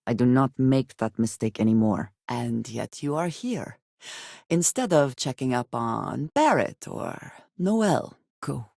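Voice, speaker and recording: An actress playing a guarded, earnest woman space adventurer in a video game, speaking in measured, formal phrases with few contractions and a dry wit. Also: a bass voice, animated, creaky, darkish tone, no room sound, exaggerated voice. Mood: composed, serious. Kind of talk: video game character acting.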